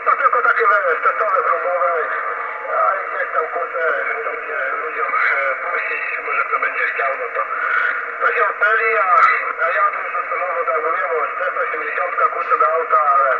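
A man's voice: high voice, lively intonation, brisk. A man chatting casually and ramblingly as a radio operator, heard over a shortwave radio link. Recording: very noisy, muffled, distant radio reception. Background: loud radio static.

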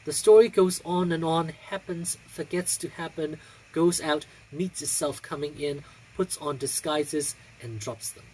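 A man with a husky voice and a theatrical, deliberate delivery, speaking indoors into a phone a short distance away. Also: on the high side, a little mellow, a little hiss or hum. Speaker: drag performer and reader. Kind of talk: casual vlog chat and expressive poetry reading. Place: small room indoors. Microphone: phone on a stand a short distance away.

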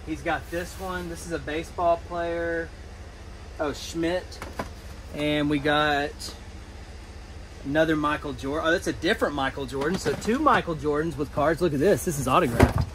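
A man in his thirties filming himself in a metal storage unit, giving excited running commentary as he digs through boxes of collectibles. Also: on the high side, normal speed. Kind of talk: casual vlog narration. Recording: noisy storage unit ambience.

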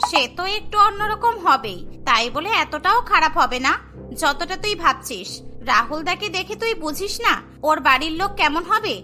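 A woman's voice: very high-pitched, sing-song, moderate pace, over music. A woman playing a sensible, teasing younger sister in a cartoon story. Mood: reassuring, teasing.

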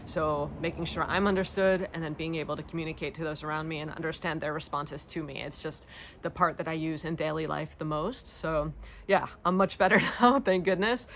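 A woman's voice: deep voice, fairly even intonation, a little fast. American woman chatting casually over outdoor noise. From United States. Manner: informal, self-deprecating, conversational. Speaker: vlogger. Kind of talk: casual chat answering viewer questions. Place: outdoors in a leafy garden. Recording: clear outdoor background noise, garden ambience.

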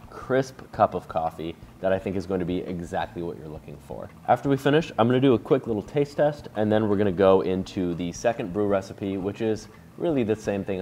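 A man in his thirties walks through a coffee brewing recipe in a relaxed, chatty how-to style, explaining each step as he goes. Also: deep voice, a little hiss or hum. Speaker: coffee shop owner demonstrating a brew recipe. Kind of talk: casual how-to demonstration. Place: bright indoor room with a table.

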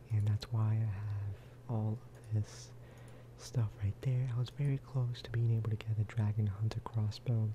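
A man giving a relaxed, casual ASMR gameplay commentary in a soft, breathy whisper, close to the microphone. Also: fairly low pitch, noisy background. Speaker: video game streamer and host.

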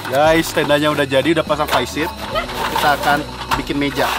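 A man's voice: a little high, level tone, a little fast. A man in his thirties hosting a casual camping vlog outdoors, upbeat and chatty, talking straight to viewers. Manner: upbeat, addresses viewers as guys. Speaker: camping vlogger host. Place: outdoors on a lakeside field. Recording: background music.

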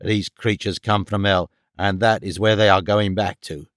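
A man voicing a gruff, domineering, bigoted father in an audiobook, curt and commanding. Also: lowish voice, fairly even intonation, normal speed, fairly bright tone, dry recording, studio quality, pitched below the performer's own voice, lightly acted. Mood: angry, scornful. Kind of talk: audiobook character dialogue.

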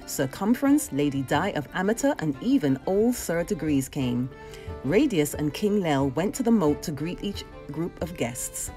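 A woman reading a children's storybook aloud with dramatic, animated expression. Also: deep voice, normal speed, soft background music. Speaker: storybook reader.

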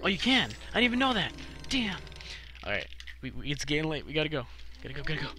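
A young adult man commentating casually on a video game for a live chat, slangy, profane and excitable, often repeating himself. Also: fairly high pitch, quick pace, faint music behind. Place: live game stream with game music behind the voice.

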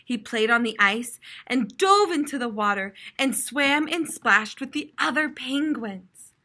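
A young American woman reads aloud like a primary school teacher, explaining as she goes. Her voice is fairly high and bright, with animated, expressive pitch. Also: a little slow. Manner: expressive, explanatory, clearly addressed to children. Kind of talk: read-aloud lesson for young children. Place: classroom, indoors.

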